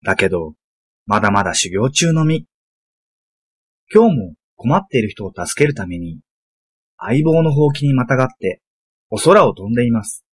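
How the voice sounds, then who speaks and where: A man's voice: medium pitch, very animated, moderate pace, long pauses, breathy edge. A man reading scripted, storybook-like narration for a comic radio drama.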